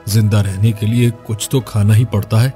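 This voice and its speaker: A man's voice: on the low side, steady tone, normal speed, dark tone, faint music behind, natural voice. A man playing a sly, greedy villager who schemes for money in a scripted cartoon story. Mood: evasive.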